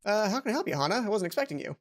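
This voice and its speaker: A woman's voice: mid-range voice, very animated, lightly acted. Woman doing an awkward, dramatic schoolboy. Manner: halting, awkward. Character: awkward, dramatic schoolboy attached to his robot bird.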